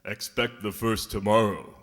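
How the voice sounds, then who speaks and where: A man's voice: fairly low pitch, fairly even intonation, fairly bright tone. A man playing the mournful ghost of a remorseful businessman in a radio play, speaking in a solemn, grandiloquent, theatrical way. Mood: grave, warning. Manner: slow, solemn, grandiloquent delivery. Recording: long cavernous reverb with music behind.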